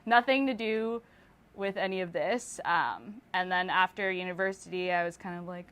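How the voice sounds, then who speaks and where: A woman's voice: lowish voice, moderate pace, clean tone. A young woman artist from the Philippines and Toronto, chatting casually as an interview guest with plenty of "um" and "like". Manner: relaxed, lots of fillers and likes.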